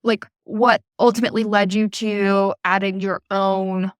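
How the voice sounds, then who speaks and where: Woman, podcast host, attentive interviewer, smooth resonant voice, middling pitch. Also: little pitch movement, unhurried, no room sound, clean studio sound. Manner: attentive, frequent listening murmurs.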